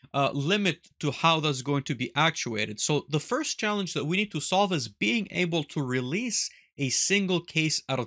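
Bright-voiced man giving a tutorial. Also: a little high, dry recording, studio quality. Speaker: instructor. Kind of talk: tutorial narration with screen demonstration. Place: small indoor workshop room with wall of electronics.

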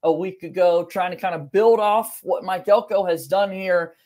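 A man's voice: high voice, fairly even intonation, moderate pace, a little mellow. A young man in his twenties hosting a sports podcast in a conversational style from a home office. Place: home office room, video call.

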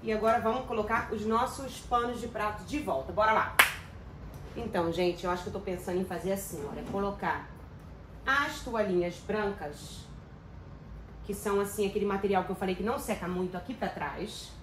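A woman's voice: mid-range voice, brisk, a little hiss or hum, rough recording. A middle-aged woman, chatting casually to her viewers while organizing her kitchen drawers. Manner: chatty, addresses viewers as "gente", uses many diminutives. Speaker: home organizing vlogger. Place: home kitchen.

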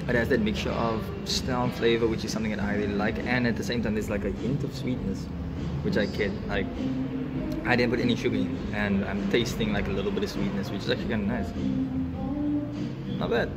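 Excited young man vlogging in a cafe. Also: fairly low pitch, brisk, low-quality recording. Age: young adult. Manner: excited, chatty, filler-heavy. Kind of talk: casual vlog. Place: indoor cafe with classic cars. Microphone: phone camera at arm's length, no lapel mic. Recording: phone audio with loud background music. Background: loud music playing in the cafe.

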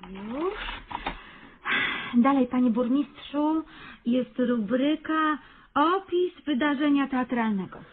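An actress playing a dutiful, timid and deferential assistant to a mayor in a radio comedy. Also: female voice, sits high, slow pace, pauses often. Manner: deferential, hesitant. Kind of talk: radio comedy drama acting. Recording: muffled phone-like radio recording.

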